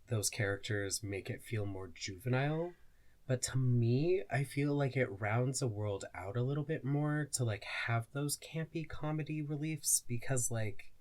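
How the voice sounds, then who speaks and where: Slow, mid-pitched podcast co-host, thinking aloud. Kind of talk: casual discussion.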